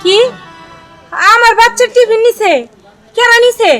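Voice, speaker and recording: A woman's voice: extremely high pitch, fairly even intonation, a little slow, pushed and bright, soft background music, full character voice. A woman with a Bangladeshi accent playing an aggrieved, protective village mother, scolding shrilly in a melodramatic short film. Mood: indignant.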